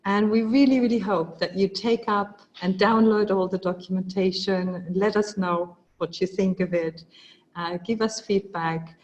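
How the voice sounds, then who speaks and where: A woman's voice: medium pitch, fairly even intonation, moderate pace, muffled recording. Enthusiastic woman hosting a webinar. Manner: enthusiastic, many fillers and restarts. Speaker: webinar host. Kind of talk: live webinar presentation. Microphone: video call.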